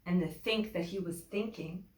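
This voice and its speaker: A woman teacher reading a children's picture book aloud in an expressive, deliberate way, with emphatic phrasing for young listeners. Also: lowish voice. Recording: echoey room.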